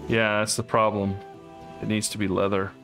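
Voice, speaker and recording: A man hosting a co-op game playthrough, chatting casually and thinking out loud as he plays. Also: on the low side, level tone, moderate pace, music in the background. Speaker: let's play host. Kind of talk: casual co-op gameplay chat.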